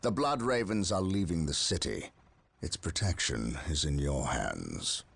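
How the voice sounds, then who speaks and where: A man playing a stern, burdened space marine captain in a video game, with grave, measured, commanding military delivery and a gravelly voice. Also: very deep voice, very animated, fairly bright tone, no echo, heavily acted. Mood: grave, resolute. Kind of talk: dramatic video game acting. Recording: music behind the voice.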